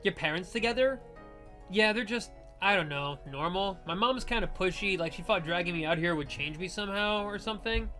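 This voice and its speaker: A woman voicing a reluctant, uneasy young man just out of high school in a visual novel, hesitant and downbeat. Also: fairly quick, bright, forceful tone, soft background music, natural voice.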